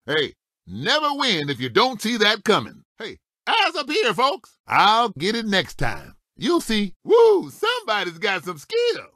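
An actor playing a feisty old talking race car in a video game, a folksy, cocky veteran racer firing off exclamatory one-liners. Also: male voice, sits high, huge pitch swings, measured pace, sharp tone, no echo, clean studio sound, heavily acted. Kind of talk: animated video game character voice clips.

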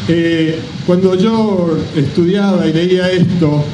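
A middle-aged man gives a measured, deliberate public speech as a company representative at an outdoor podium. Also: high voice, fairly even intonation. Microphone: microphone on a stand at a podium. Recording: roomy distant PA microphone, background noise.

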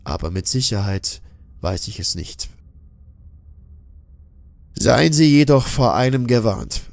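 A man narrating a scripted horror audiobook in a solemn, measured, ominous manner. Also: medium pitch, lively intonation, vocal fry, darkish tone, no echo.

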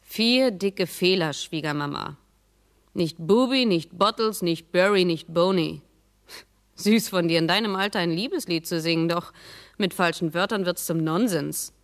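Mocking, sharp-tongued daughter-in-law. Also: female voice, on the low side, a little slow. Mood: mocking, taunting. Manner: sarcastic, taunting. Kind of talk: radio drama acting.

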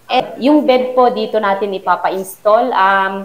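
A woman's voice: mid-range voice, a little slow, poor audio. A middle-aged woman giving a polite tour of her house under renovation, guiding the viewer courteously from room to room. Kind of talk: polite walkthrough narration.

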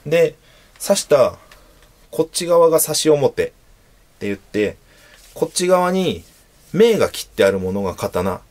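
A man in his thirties hosting his own channel, explaining sword types patiently to camera from a small room, with frequent fillers and pauses as he demonstrates. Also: on the high side, very animated, a little mellow. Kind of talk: casual explanatory talk to camera. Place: small indoor room at home.

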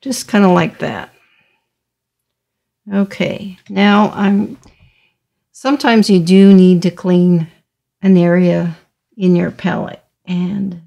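A middle-aged woman teaching watercolor step by step, speaking haltingly as she thinks aloud while painting. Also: mid-range voice, steady tone, vocal fry, dark tone. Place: indoors, small studio room at a table.

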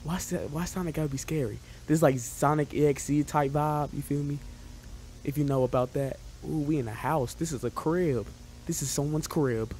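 A young adult man giving casual, excitable reaction commentary in a jumpy, slangy, joking and rambling way, close on the microphone. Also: a little high, moderate pace, a little hiss or hum, low-quality recording. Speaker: reaction video host. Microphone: close microphone at a desk. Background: music from the watched videos.

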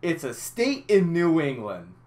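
A man's voice: fairly high pitch, huge pitch swings, measured pace, darkish tone. A young man from Massachusetts with a headset mic in a small room, telling a rambling, swear-filled story over game footage. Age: young adult. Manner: rambling, frequent swearing and restarts. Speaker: gaming YouTuber. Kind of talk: casual storytelling over gameplay.

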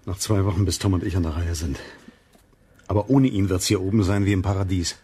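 An actor playing a bitter, resentful lighthouse keeper in a German radio crime drama. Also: male voice, low voice, fairly quick, warm tone, big-room sound, close to an everyday voice.

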